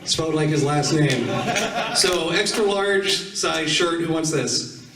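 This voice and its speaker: A man's voice: on the high side, slow, recorded from a distance, background noise. A man hosting a live panel, talking casually to the room at a convention. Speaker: panel host. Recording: roomy hall, picked up from afar.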